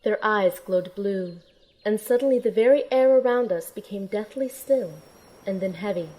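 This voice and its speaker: A woman's voice: mid-range voice, normal speed, dark tone, natural voice, poor audio. An actress playing a young heroine who narrates her adventure in an earnest, dramatic first-person style. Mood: suspenseful.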